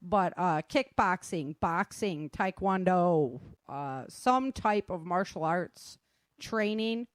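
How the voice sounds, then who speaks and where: A woman's voice: on the low side, lively intonation, takes it slowly, no room sound. Casual woman instructor, dry close sound. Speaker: self-defense instructor and author. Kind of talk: casual informal talk.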